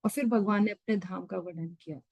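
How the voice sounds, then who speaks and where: A woman's voice: mid-range voice, a little fast, a little mellow, no echo. A woman leading an online scripture class, explaining with everyday examples and mixing English terms into her talk. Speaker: Gita study session leader.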